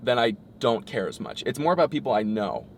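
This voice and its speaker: Man, mid-pitched, relaxed, supportive, gentle questions, faint car noise. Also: brisk, gravelly. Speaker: vlogger interviewing a friend. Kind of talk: casual chat.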